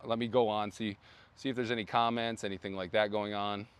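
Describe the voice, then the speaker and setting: A man hosting a casual brand livestream outdoors, rambling with many fillers and restarts, his voice going hoarse. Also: lowish voice, little pitch movement, fairly quick. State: losing his voice. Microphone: phone.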